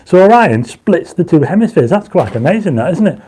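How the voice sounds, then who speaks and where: A man's voice: sits high, very animated, a little fast, dark tone, husky. Man hosting a chatty livestream. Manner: reads viewer comments aloud, urges likes; enthusiastic when explaining stars. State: dry mouth, voice going croaky. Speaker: livestream host. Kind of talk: casual livestream chat. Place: home astronomy studio.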